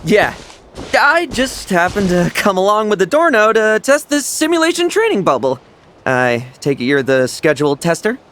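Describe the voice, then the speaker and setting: Cocky young knight. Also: male voice, sits high, sing-song, moderate pace, fairly bright tone, a little hiss or hum. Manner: cocky, brash, boastful. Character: young brash male knight, cocky and restless. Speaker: knight vice captain. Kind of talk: video game voice acting.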